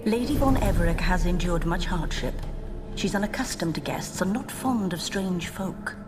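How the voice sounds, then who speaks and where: A woman plays an otherworldly servant spirit from a fantasy game, her voice on the low side. She is aloof and sombre, choosing formal, stately words at a measured tread. Music plays clearly behind her. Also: animated, lightly acted. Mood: formal, grave. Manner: formal, measured, stately old-fashioned phrasing. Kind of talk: video game cutscene acting. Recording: music under the voice.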